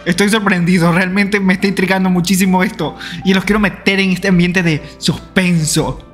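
Young guy, kinda high voice, reacting all excited in his little home studio, show music loud behind. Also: normal speed. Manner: excitable, thinks aloud, many restarts. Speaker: reaction video host. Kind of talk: casual reaction commentary. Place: small home studio room.

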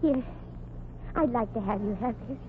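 A woman's voice: fairly high pitch, moderate pace, natural voice. An actress playing a kindly, naive small-town woman in a 1940s radio comedy, earnest and gushing. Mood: eager. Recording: muffled, noisy 1940s radio recording.

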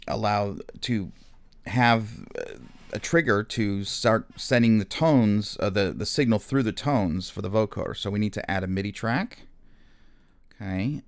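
Male tutorial narrator, lowish pitch, casual and explanatory, slightly hesitant off-the-cuff delivery. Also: gravelly. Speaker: music software instructor. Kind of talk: casual spoken screen tutorial.

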